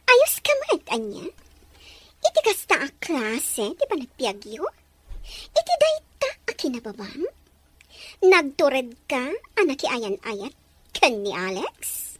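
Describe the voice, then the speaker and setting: Woman acting spoiled, very high-voiced young fiancée. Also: sing-song, slow, pauses often, a bit bright, heavily acted. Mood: scornful. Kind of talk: melodramatic radio-style drama acting.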